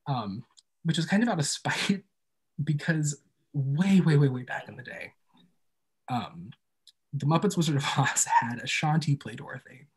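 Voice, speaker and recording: A man's voice: mid-range voice, husky. A man speaking as an interview guest in a casual, informal and thoughtful way about his own work. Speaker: podcast creator and guest being interviewed.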